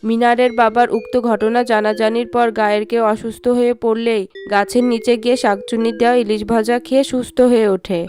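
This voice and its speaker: A woman's voice: a little high, flat delivery, a little fast, darkish tone, faint music behind. A woman giving scripted narration of a folk story.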